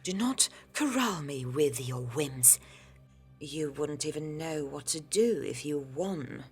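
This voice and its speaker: A woman's voice: low-pitched, lively intonation, slow, soft background music. A woman playing a fierce older sister, an alien warrior, curt and scolding in an audio drama. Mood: exasperated. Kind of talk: scripted audio drama acting.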